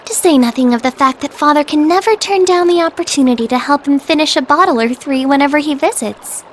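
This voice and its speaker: An actress voicing a caring, polite teenage younger sister in a video game, with an earnest, proper way of speaking. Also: female voice, high-pitched, fairly quick, a little hiss or hum, lightly acted. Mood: polite, flustered. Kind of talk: video game cutscene voice acting. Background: trickling water ambience.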